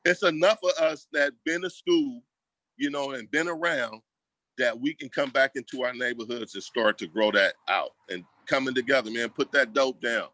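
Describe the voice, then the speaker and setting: Casting: American man, community advocate, bright punchy voice, dry close sound, casual but emphatic interview talk. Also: medium pitch, normal speed, no room sound, rough recording. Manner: emphatic, colloquial, repeats phrases for emphasis. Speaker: school district equity staff member and community advocate.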